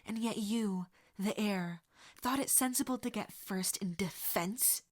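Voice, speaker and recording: A woman reading a cold, menacing aristocratic father in a low voice. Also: measured pace, natural voice.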